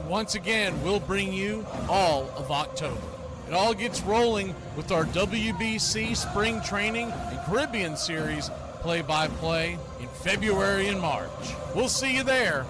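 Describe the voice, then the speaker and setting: A man who hosts play-by-play sports, delivering an energetic radio promo. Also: sits high, a little slow, background noise. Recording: music bed behind voice. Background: music.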